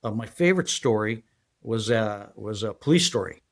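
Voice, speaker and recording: Low-voiced older man telling his story. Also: expressive, gravelly. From San Diego, USA. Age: 60s. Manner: rambling, halting, with many fillers and restarts. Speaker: guest, retired federal agent. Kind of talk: casual interview storytelling.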